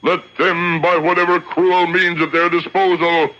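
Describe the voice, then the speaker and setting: Casting: stern religious judge and exorcist, slow measured declamation, bright forceful tone, radio play. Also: male voice, high-pitched, little pitch movement. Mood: commanding, forceful. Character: solemn, commanding rabbi judge conducting a tribunal and exorcism. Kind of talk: theatrical radio drama acting. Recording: dull lo-fi old radio recording.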